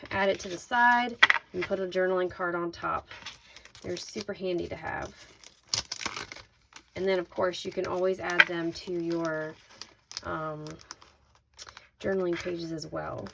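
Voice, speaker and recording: A woman with a slightly raspy voice hosting a casual craft unboxing, chatting informally and thinking aloud as she shows each item. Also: lowish voice. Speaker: craft video host. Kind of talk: casual unboxing product walkthrough. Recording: noisy, with crinkling handling sounds.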